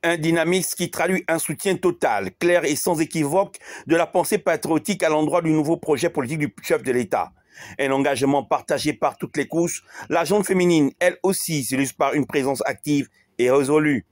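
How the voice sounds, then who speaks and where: A man narrating a news report in a formal, measured voice-over. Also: fairly high pitch, no echo.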